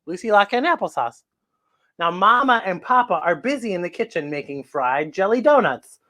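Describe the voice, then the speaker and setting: Animated woman hosting children's story time. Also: on the low side, normal speed. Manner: exclamatory, gushing, repeats phrases. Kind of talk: read-aloud storytelling for children.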